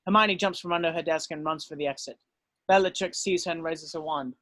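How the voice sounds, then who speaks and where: Dry-sounding man narrating, fairly high voice. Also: steady tone, brisk, a bit bright, no room sound. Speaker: narrator reading stage directions. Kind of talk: scripted narration.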